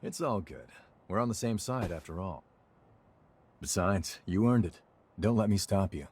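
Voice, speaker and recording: Low-voiced, easygoing game hunter. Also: male voice, expressive, moderate pace, a little mellow, breathy edge. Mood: knowing, relaxed. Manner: casual, wry, colloquial. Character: Noah, easygoing wry male raider-fighting hunter. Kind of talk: video game cutscene acting.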